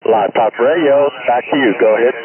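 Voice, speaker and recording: A man's voice: medium pitch, fairly quick. Tinny CB radio, boastful old man. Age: 80s. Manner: folksy, boastful. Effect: CB radio transmission. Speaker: CB radio operator. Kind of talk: amateur radio chatter with broadcast-style catchphrases. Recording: narrow tinny CB radio, static hiss.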